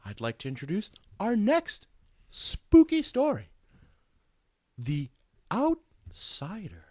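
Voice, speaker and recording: Man putting on a pompous samurai host, wildly swooping pitch. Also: huge pitch swings, takes it slowly, mellow, no room sound, clean studio sound. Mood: boastful. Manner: pompous, exaggerated. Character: boastful samurai shogun host with a katana. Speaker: podcast host in character. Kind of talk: theatrical spooky-story hosting.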